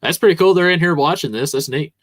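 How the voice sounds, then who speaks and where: A man's voice: fairly high pitch, fast, fairly bright tone, no room sound. A man hosting a car audio podcast, joking around and plugging his show in casual chat. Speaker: podcast host.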